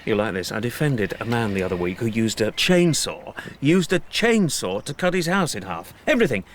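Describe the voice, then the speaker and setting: An actor playing a chatty British solicitor with a dry, jokey, anecdotal manner in a radio drama. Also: male voice, medium pitch, big pitch swings, normal speed, lightly acted. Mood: wry amusement. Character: chatty, wry male solicitor, gardening friend. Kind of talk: naturalistic radio drama dialogue.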